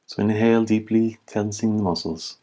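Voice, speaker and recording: A man giving guided meditation instruction as a teacher, in measured short phrases with long pauses. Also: fairly low pitch, fairly even intonation, warm tone, rough recording.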